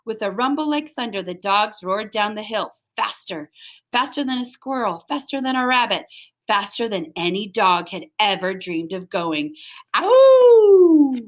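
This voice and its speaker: A woman's voice: medium pitch, moderate pace, pushed and bright. A woman reading a children's story aloud as scripted narration, expressively, with dramatic emphasis. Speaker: storybook reader.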